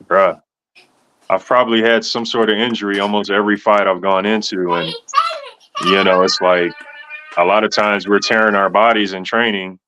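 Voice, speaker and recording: A man's voice: fairly low pitch, flat delivery, normal speed, highs cut off, noisy background. A man in his thirties hosting a casual interview, laid-back and slangy, calling people man and bro. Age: 30s. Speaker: host.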